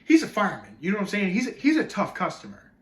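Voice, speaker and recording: A man's voice: sits high, sing-song, a little fast, pushed and bright, recorded from a distance, voice pushed up, heavily acted. A man performing a dramatic monologue as an indignant cynic ranting about television, emphatic, sarcastic and conversational. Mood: indignant, loud.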